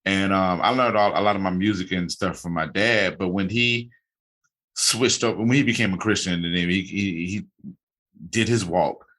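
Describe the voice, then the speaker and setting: Male podcast host, casual rambler, low pitch, bright tone. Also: fairly even intonation, moderate pace. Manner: rambling, many restarts and fillers. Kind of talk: casual interview chat.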